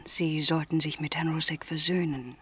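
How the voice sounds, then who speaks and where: A woman playing a discreet, soft-spoken English miss acting as go-between in a 1970s German radio play, with hushed, halting phrasing. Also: deep voice, steady tone, full character voice. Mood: cautious. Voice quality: breathy, hushed.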